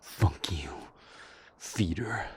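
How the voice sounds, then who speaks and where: A man's voice: deep voice, lively intonation, drawn-out words, a little hiss or hum, heavily acted. A man voicing a frightened but defiant young man held captive by a vampire, in an audio roleplay drama.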